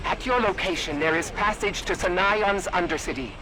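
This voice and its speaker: A man playing a composed alien pilot ally in a video game, speaking in measured, formal phrases. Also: sits high, close to an everyday voice. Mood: composed briefing. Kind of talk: video game cutscene acting. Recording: clear engine and outdoor noise behind.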